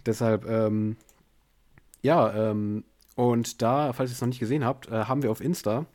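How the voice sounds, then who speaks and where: A man's voice: steady tone, normal speed, a little mellow. A man hosting a podcast, unwell with a headache, rambling casually with many fillers. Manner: rambling, many fillers, tired and low-key. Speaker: podcast host. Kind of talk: casual chat. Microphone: remote call via bot.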